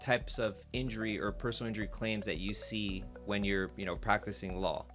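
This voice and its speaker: A man's voice: lowish voice, fairly even intonation, brisk, fairly bright tone, soft background music. A man co-hosting a casual interview, asking rambling, hesitant questions full of "you know" and "like". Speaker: podcast co-host.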